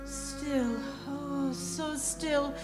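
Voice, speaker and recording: A woman's voice: sits high, little pitch movement, extremely slow. A woman playing a haunted young woman under a vampire's spell in a staged radio drama, dreamy and incantatory. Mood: trance-like. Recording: reverberant hall, music behind.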